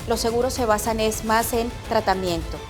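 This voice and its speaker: A middle-aged woman from Colombia, an epidemiologist answering interview questions in a measured, explanatory way. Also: a little high, music in the background. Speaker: epidemiologist guest.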